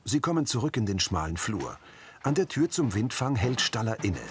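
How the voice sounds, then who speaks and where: Lowish, lively male narrator. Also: normal speed, a little hiss or hum. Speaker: audio description narrator. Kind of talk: scripted narration. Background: background music.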